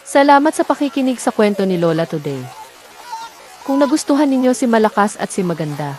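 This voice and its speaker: A woman's voice: fairly high pitch, big pitch swings, fairly quick, a little mellow, faint music behind. A woman storyteller reading a scripted folktale narration.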